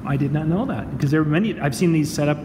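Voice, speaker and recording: A man with a slightly high voice hosts a casual on-location chat. He is playful and likes to tease. The room rings with echo and has clear background noise. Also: racing, far from the mic. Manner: playful, teasing. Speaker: show host. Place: indoor demo room at a trade expo. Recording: echoey, noisy room with long reverb.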